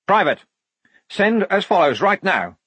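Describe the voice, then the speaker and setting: A pompous young army lieutenant acted out by a male narrator in a storybook reading. His voice is pitched up and swoops about, slightly husky. He is prim, officious and pedantic, very pleased with his own cleverness. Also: sing-song, a little slow, fairly bright tone, dry recording, heavily acted. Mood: commanding.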